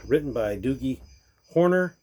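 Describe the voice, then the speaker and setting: A man reading a rhyming storybook aloud in a theatrical way, with deliberate pauses. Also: medium pitch, lively intonation, dark tone. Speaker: reader of a storybook.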